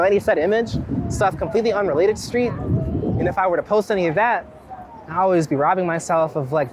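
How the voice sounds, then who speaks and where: A man talks casually as he walks through a city. He is reflective and rambling, often dropping a thought halfway to start another. Light urban noise and passing vehicles sit behind his voice. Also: high-pitched. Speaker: street photographer being interviewed. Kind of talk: casual conversation while out walking. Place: outdoors on a busy city street. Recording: outdoor city street noise, traffic.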